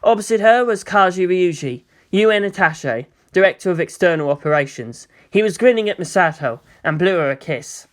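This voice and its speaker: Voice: mid-range voice, animated, brisk, hardly any pauses. A narrator reading a scripted story aloud as an audiobook of fan fiction.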